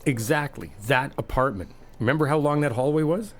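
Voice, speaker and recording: A man's voice: medium pitch, brisk, a little hiss or hum. A man doing a friendly ASMR roleplay, chatty and teasing, reassuring the listener as he helps out. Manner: reassuring, helpful.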